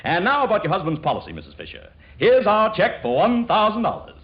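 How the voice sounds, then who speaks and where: A courteous young insurance man, eager and a little easily flustered. His fairly high male voice moves up and down brightly in 1940s radio comedy style, on a dull vintage recording. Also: wildly swooping pitch, a little fast. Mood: genial. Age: young adult. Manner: courteous, earnest.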